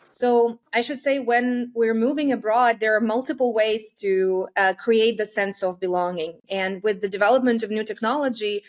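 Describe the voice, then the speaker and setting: A woman from Russia, a guest expert, giving measured and articulate interview answers. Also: on the high side, fairly even intonation. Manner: measured, articulate, carefully chosen words.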